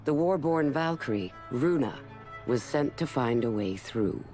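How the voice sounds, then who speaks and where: A man giving even, informative scripted narration for a game story. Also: mid-range voice, measured pace, soft background music, natural voice.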